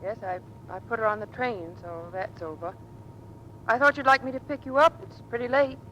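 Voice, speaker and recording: A woman playing a gentle, worried and weary navy wife in a 1940s radio drama. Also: moderate pace. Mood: weary, wistful. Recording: dull old radio broadcast, faint rumble.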